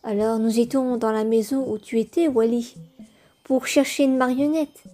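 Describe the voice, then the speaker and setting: A woman's voice: on the high side, fairly even intonation, moderate pace, mellow, lightly acted. A woman voicing a polite, earnest schoolchild twin in a cartoon comic dub. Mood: explaining.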